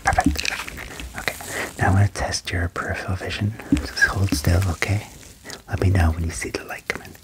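Young male ASMR performer, low-pitched whisper, breathy and close-miked, calming doctor-roleplay delivery with gentle reassurance. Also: low-quality recording. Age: young adult. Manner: slow, soothing, reassuring, repeating short praise. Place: small indoor room at home. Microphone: close desk microphone with furry windscreen.

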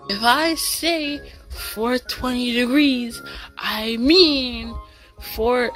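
A woman voicing a crude, fed-up animal principal in a parody cartoon, with slangy, drawling deadpan. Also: fairly high pitch, very slow, faint music behind.

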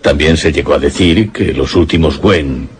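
An actor playing a grave old fisherman in a Spanish radio suspense drama, raspy and deliberate, recounting things mysteriously. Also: rumbling low, background noise. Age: older. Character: grave old village fisherman telling local rumours.

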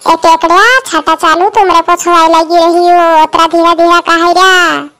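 A woman's voice: extremely high pitch, level tone, unhurried, bright, forceful tone, heavily acted. A woman voicing a bossy, nagging village girl in a cartoon comedy, scolding and urging others along. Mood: urgent.

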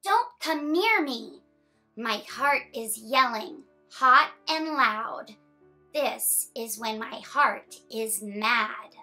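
A young woman hosting children's story time, reading a picture book aloud in an animated, expressive way. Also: on the high side, drawn-out words, faint music behind. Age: young adult.

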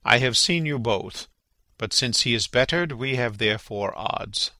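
A man plays a regal, scheming king in a Shakespeare audiobook. The voice is gravelly and forceful, closely and dryly recorded. His delivery is stately and declamatory. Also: medium pitch, lively intonation, a little slow, no echo. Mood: regal, ceremonious. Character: King Claudius, regal scheming middle-aged villain. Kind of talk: dramatic Shakespeare audiobook reading.

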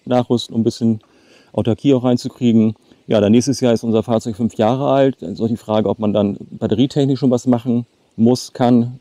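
A man's voice: mid-range voice, dark tone, no echo. A man in his late fifties chatting casually to the camera outdoors as a travel vlogger. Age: late 50s. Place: outdoors by a lake.

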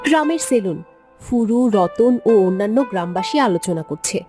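A woman's voice: medium pitch, expressive, moderate pace, darkish tone. A woman reading scripted scene narration for a cartoon story, with music behind her.

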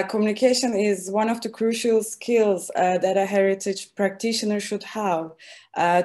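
A woman's voice: medium pitch, darkish tone. Young woman, student on a webcam call, careful and filler-laden answers. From Turkey. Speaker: graduate student. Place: home room, plain wall.

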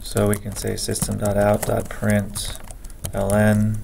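A man teaching a programming lesson over a screen recording, speaking slowly and haltingly as he reads code aloud. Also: fairly low pitch, monotone, gravelly, background noise. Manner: slow, halting, dictates code aloud with many pauses. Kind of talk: screen-recorded coding tutorial.

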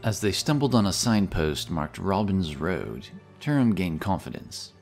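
Guy reading a fantasy story, really low voice, measured and dramatic, soft music behind him. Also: big pitch swings, gravelly. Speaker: narrator. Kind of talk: scripted fantasy audiobook narration.

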